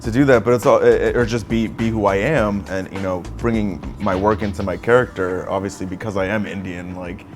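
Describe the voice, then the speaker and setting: A man with a somewhat low voice speaks earnestly in a casual chat. He often stumbles and repeats himself as he gathers his thoughts. Music plays clearly behind him. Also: steady tone. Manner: earnest, halting with repeated words. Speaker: actor co-hosting a chat. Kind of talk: casual conversation.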